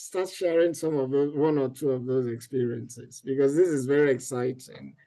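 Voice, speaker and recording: A man's voice: mid-range voice, steady tone, moderate pace, gravelly, mellow. A man hosting an interview show over a video call, giving encouraging, repetitive prompts to his guest and laughing heartily. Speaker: podcast host.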